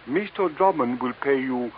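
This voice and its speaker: A man's voice: mid-range voice, lively intonation, a little hiss or hum, close to an everyday voice. Tinny old-radio actor as polite gunman. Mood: smooth, insinuating. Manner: formal, measured, overly polite. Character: small, polite, menacing Egyptian gunman. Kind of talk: 1950s radio drama acting.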